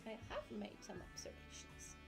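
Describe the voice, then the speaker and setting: A woman's voice: normal speed, over loud music. Chatty woman muttering through a game stream. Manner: chatty, muttering asides to herself. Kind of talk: casual gameplay commentary.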